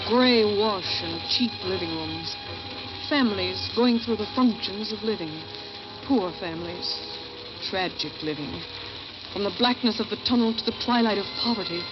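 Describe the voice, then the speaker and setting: An actress playing a bitter, desperate failed actress secretly plotting murder, narrating in a brooding, confessional 1940s radio thriller style. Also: female voice, medium pitch, close to an everyday voice. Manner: brooding, dramatic, confessional delivery. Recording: muffled vintage radio recording with loud music.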